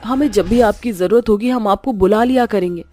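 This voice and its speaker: A woman's voice: medium pitch, fairly quick, dark tone, faint music behind, natural voice. A woman voicing the upset young daughter of a witch in a horror story, speaking in an earnest, pleading manner.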